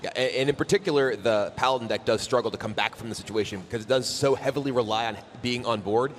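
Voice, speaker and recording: Measured male esports analyst. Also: mid-range voice, a little hiss or hum. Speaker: esports co-caster.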